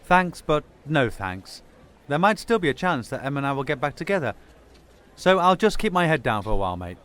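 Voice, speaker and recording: An actor playing a hot-tempered, wounded Sunday-league footballer in an audio drama, blunt and colloquial, with a British accent. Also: male voice, a little high, big pitch swings, fairly quick, a bit bright. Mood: subdued. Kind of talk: full-cast sci-fi audio drama.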